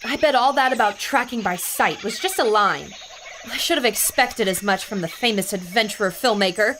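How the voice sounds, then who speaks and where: An actress playing an intrepid, sharp-tongued newspaper reporter in a pulp adventure audio drama, brisk and plucky. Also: female voice, medium pitch, animated, a little hiss or hum, lightly acted. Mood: scolding.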